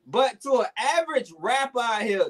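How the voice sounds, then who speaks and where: A man's voice: extremely high pitch, animated, normal speed. A man co-hosting a podcast, arguing emphatically in casual banter, repeating "check it out" and "bro". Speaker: podcast co-host. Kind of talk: casual argumentative chat.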